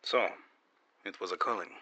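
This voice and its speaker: A man playing a stern, controlled secret agent in an audio drama, questioning a witness in a quiet, terse, probing way. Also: sits low, normal speed, exaggerated voice. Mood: grave, probing. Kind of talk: dramatic audio fiction interview.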